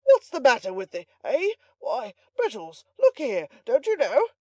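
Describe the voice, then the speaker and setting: A woman voicing a pompous, self-important old male servant in a storybook, excitable, blustering and boastful. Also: lowish voice, normal speed, no echo, studio quality. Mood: loud excitement. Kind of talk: audiobook character voice.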